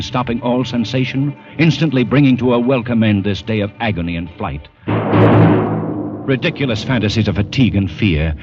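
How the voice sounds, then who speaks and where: A man of middle pitch narrates in a tense, building second-person style. His voice comes through a muffled old radio broadcast with music clearly playing underneath.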